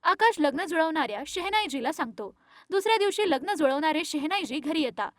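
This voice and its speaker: A woman tells an animated moral tale as narrator. Her voice is extremely high and recorded very dry, with scripted storybook phrasing. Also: brisk, no echo.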